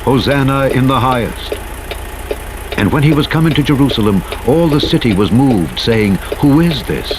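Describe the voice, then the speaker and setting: A man narrating the Bible in a solemn, measured scripture-reading style with archaic phrasing. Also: medium pitch, animated. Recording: clear engine and vehicle noise behind. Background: truck engine noise from a driving game.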